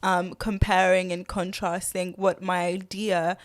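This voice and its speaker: A woman's voice: fairly low pitch, level tone, measured pace, dry recording. A young woman guest on a podcast, speaking candidly and thoughtfully, with frequent fillers and restarts and easy laughter. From grew up partly in Zimbabwe and London. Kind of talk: candid reflective conversation.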